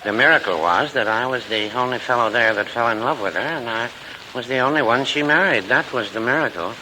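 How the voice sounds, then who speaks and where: A man acting a mild, earnest, literal-minded older husband in a 1940s radio comedy, deliberate and folksy. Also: mid-range voice, close to an everyday voice. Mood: folksy pride. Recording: hissy old radio recording.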